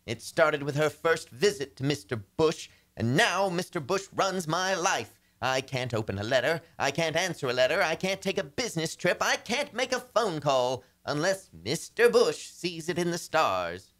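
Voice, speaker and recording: A man's voice: a little high, very animated, moderate pace, few pauses, a bit bright. An actor playing a flustered, henpecked married businessman in a radio comedy, pleading in a melodramatic, agitated way. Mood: urgent pleading. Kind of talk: old-time radio comedy acting.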